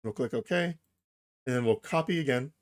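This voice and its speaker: A man narrating a casual software tutorial, explaining things informally, step by step, as its host. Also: mid-range voice, normal speed.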